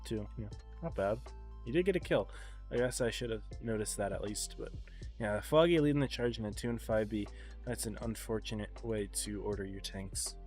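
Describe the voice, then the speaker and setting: A man casting an esports match on a live stream, congested and tired, calling the play in a relaxed, subdued way. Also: fairly low pitch, normal speed, faint music behind. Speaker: esports caster.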